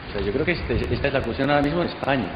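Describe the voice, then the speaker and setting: A man's voice: medium pitch, lively intonation, very fast. A man with an accent from Spain giving his opinion in an unscripted debate, emphatic and repeating key phrases. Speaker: participant in a congress debate. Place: large hall at a radio drama congress. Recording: echoey hall, dull sound, clear background noise.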